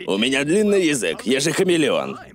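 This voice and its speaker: A bossy, scheming chameleon pirate from a cartoon. His theatrical voice swoops widely up and down at a middle pitch, with loud music behind. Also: huge pitch swings, a little fast. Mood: blustering. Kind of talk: cartoon dub acting.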